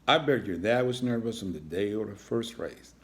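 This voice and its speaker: A man's voice: lowish voice, lively intonation, normal speed, lightly acted. A man voicing a caring iguana mother in a storybook, coaxing and reassuring her child. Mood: encouraging.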